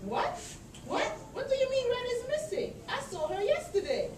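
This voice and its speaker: A woman's voice: very high voice, wildly swooping pitch, normal speed, exaggerated voice. A woman voicing a genial but grumbling, mildly bossy policeman in a children's puppet show. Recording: distant echoey room with faint noise.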